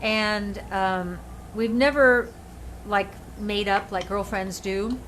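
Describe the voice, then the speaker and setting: An older woman hosting her show, telling stories in an animated, emphatic way with many restarts. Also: mid-range voice, takes it slowly, soft background music, low-quality recording. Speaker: show host and author. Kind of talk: casual chat.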